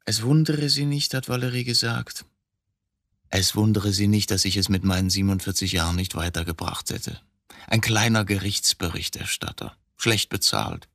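Embittered middle-aged suspect, dry sound. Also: male voice, on the low side, normal speed, fairly bright tone, no room sound. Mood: bitter, confessional. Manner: brooding, agitated, rhetorical. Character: embittered 47-year-old male court reporter, murder suspect. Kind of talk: German radio drama acting.

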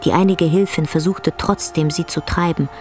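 A woman performing as the grave, intense narrator of a bleak literary radio drama, telling it in a measured way. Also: deep voice, steady tone, a little mellow, lightly acted. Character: female storyteller of a bleak rural tale, grave and intense. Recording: music under the voice.

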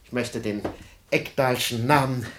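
A man's voice: mid-range voice, a little slow, natural voice. An actor playing a self-important middle-aged father and dreamer with wounded family pride, pompous and self-dramatising, in a 1950s German radio drama. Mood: pompous, proud. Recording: noisy 1950s radio recording with clicks.

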